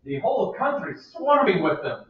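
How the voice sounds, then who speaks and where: A man's voice: very high voice, very animated, moderate pace. A man playing a fussy old servant who coaxes and scolds his mistress. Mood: coaxing. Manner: coaxing, scolding, keeps saying ma'am. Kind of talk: amateur play reading. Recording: echoey room, far from mic, dull.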